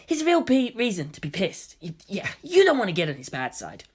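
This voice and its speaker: A man's voice: sits high, wildly swooping pitch, fairly quick, slightly rough, pitched above the performer's own voice, full character voice. A man voicing an exasperated, wisecracking younger male agent in a story reading, casual and complaining. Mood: nervy pleading.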